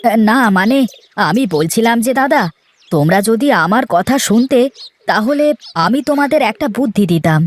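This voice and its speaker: A woman's voice: a little high, expressive, moderate pace, dry recording. A woman voicing an obliging, earnest youngest brother in a children's cartoon, eager and a little hesitant. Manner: eager, hesitant when suggesting.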